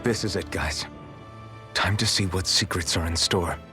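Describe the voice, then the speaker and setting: A man's voice: low voice, normal speed, natural voice. An actor playing an earnest, serious young hero who leads his party in a video game. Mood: resolute. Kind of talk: video game dramatic acting. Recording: game music under the voice.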